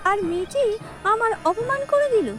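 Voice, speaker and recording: A woman's voice: very high voice, expressive, moderate pace, pitched above the performer's own voice. A woman voicing a proud, spiteful female crow in a children's cartoon, indignant and complaining. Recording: music under the voice.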